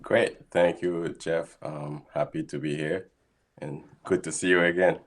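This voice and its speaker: A man's voice: deep voice, steady tone, a little slow. A man, a guest scientist explaining his work steadily in a casual interview over a video call. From Haiti. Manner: thoughtful, explains steadily with frequent ums.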